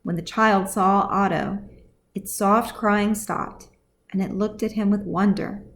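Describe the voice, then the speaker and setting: A woman's voice: mid-range voice, fairly even intonation, unhurried. A woman reading scripted fantasy fiction as audiobook narration, as the author of the story.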